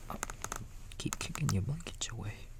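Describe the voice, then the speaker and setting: A man in a soft breathy whisper, gently and soothingly reading a bedtime story like a big-brother babysitter, with casual asides. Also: medium pitch, expressive, normal speed, very noisy.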